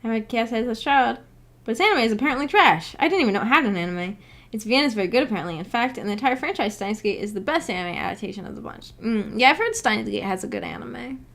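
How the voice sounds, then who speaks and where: A woman's voice: fairly high pitch, lively intonation, fast talker, fairly bright tone. Giggly, hesitant woman chatting on a livestream. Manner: giggly, hesitant, self-effacing. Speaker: livestream host. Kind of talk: casual livestream chat, reading and answering viewer comments.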